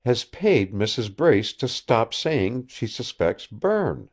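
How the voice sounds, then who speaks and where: A man's voice: medium pitch, sing-song, takes it slowly, pitched above the performer's own voice, full character voice. A man reading aloud voices an anxious young woman on the edge of tears, in a pleading tone. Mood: distressed.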